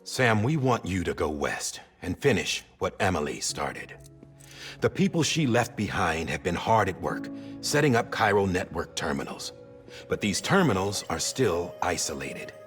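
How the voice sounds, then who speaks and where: An actor playing a grave, persuasive government official in a video game, speaking with earnest urging. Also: male voice, sits low, sing-song, moderate pace, soft background music. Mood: earnest, instructive. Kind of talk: cinematic video game acting.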